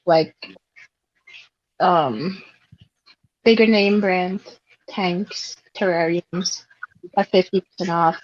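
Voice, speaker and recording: A woman's voice: lowish voice, fairly even intonation, slow pace, pauses often, dry recording. A young woman from Switzerland, a guest in a casual podcast chat, telling stories in a chatty way and laughing often. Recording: dull lo-fi sound.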